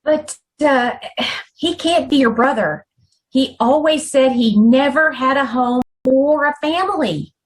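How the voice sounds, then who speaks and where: A woman acting a devoted young man's sweetheart in a radio play, with a very high voice and slow delivery. She is earnest and sorrowful, faltering as she speaks. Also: poor audio. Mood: subdued, sorrowful. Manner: halting, earnest, repeats herself. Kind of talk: old time radio drama acting.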